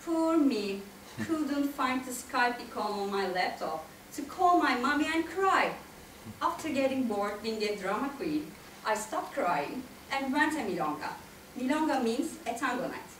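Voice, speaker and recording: A woman in her thirties with a Turkish accent giving an expressive, prepared storytelling speech to listeners. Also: sits high, recorded from a distance. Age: 30s. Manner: expressive, addresses listeners directly. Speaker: club speaker, tango dancer. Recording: echoey room from afar, faint noise.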